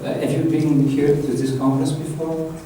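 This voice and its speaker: A man's voice: on the high side, little pitch movement, moderate pace, mellow. A man with an Italian accent, leading a workshop in a chatty, loose way and asking the room questions. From Italy. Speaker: workshop trainer. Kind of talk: live workshop talk to an audience. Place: large echoey conference room. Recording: echoey room from far off, faint hiss.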